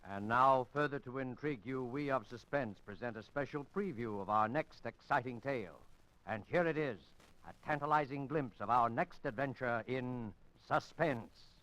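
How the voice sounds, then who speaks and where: A man's voice: medium pitch, moderate pace, a bit bright, poor audio. A man reading a scripted announcement in 1950s radio suspense style.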